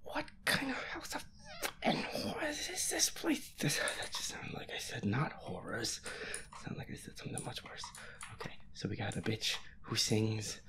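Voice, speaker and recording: A gamer mutters through a horror game in a hushed, half-whispered voice with plenty of gravelly fry. The delivery is nervous and hesitant, peppered with swearing. It sounds like breathy, private commentary on a ghost hunt. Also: mid-range voice, wildly swooping pitch, normal speed.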